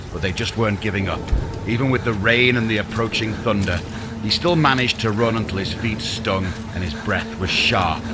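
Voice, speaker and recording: A man reading a scripted fantasy audiobook in a dramatic, deliberate storytelling manner. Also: low voice, background noise. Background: music under the voice.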